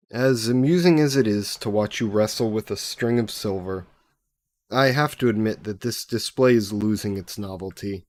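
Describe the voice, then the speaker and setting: A man's voice: lowish voice, a little mellow, no room sound, lightly acted. A man voicing a centuries-old male demon bound in a necklace, sly and possessive, speaking in a mocking, theatrical way in an audio roleplay to the listener.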